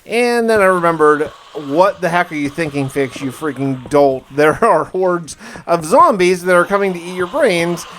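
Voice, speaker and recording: A man's voice: fairly high pitch, moderate pace, a bit bright, a little hiss or hum, low-quality recording. A man hosting a gaming video, giving casual first-person commentary with folksy exclamations and mild slang.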